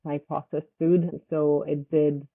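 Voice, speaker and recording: A young woman from Switzerland, a startup founder, answering interview questions in a loose, halting way full of fillers. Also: deep voice, level tone, creaky. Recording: tinny phone-like line.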